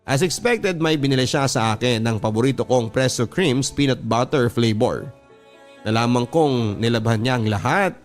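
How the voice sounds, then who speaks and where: A man with a Filipino accent reading a first-person story as a radio drama narrator, in a sentimental manner. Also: mid-range voice.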